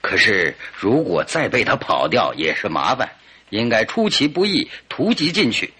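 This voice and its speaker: A self-assured, playful detective in a radio play. His voice is husky and bright, with lively swings of pitch and a deliberate, commanding delivery. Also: heavily acted. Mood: firm, cautioning. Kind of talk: radio drama acting.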